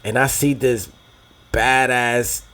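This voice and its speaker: A man's voice: mid-range voice, steady tone, slow, fairly bright tone. A young man with a Dominican American accent from New Jersey, hosting a podcast in loose, profane banter that drops into Spanish. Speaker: podcast host. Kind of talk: casual banter.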